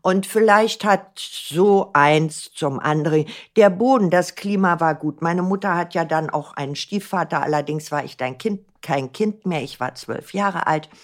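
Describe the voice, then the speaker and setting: A woman's voice: low-pitched, a little slow, hardly any pauses. Blunt older woman, flowing talk. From Berlin, Germany. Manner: long flowing sentences, blunt and colloquial. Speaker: guest, entertainer. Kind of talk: podcast interview answers.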